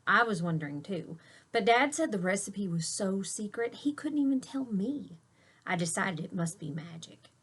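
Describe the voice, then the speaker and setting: A woman reading a picture book aloud to children like a teacher, expressive, with emphasis and hushed dramatic moments. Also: medium pitch, normal speed, husky.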